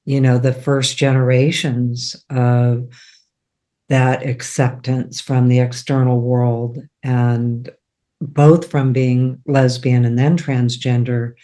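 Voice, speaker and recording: Slow, even-voiced man interviewing. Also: medium pitch. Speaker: interview host. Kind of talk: conversational interviewing.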